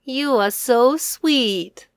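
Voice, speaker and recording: A woman voicing a loving, cheerful, gently teasing mother in a children's storybook, with affectionate expression. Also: high-pitched, extremely slow, clean tone, no echo, clean studio sound, pitched above the performer's own voice, heavily acted. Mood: warm, pleased. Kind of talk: children's storybook read-aloud.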